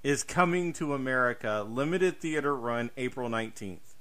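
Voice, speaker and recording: A man's voice: mid-range voice, lively intonation, normal speed, pushed and bright. A man co-hosting a podcast, chatting casually about horror films with showy emphasis. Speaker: podcast co-host. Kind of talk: casual horror film chat.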